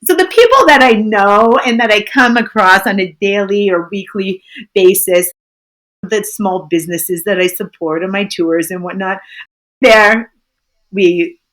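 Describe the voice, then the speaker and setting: A woman from Canada with a friendly, sing-songy voice, a guest telling lively stories in a casual interview and laughing often. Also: mid-range voice, measured pace, poor audio. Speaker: tour business owner, guest.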